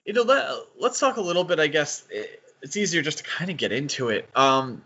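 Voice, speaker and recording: A man's voice: a little high, big pitch swings, a little fast, pushed and bright. Man hosting a podcast, dull lo-fi sound. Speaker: podcast host. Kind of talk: casual podcast discussion.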